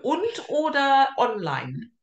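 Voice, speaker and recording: A woman with a fairly high voice interviews in a casual, chatty way and laughs often. The sound is noticeably echoey, as from a roomy space. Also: wildly swooping pitch, takes it slowly, dull audio. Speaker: interviewer and fellow author.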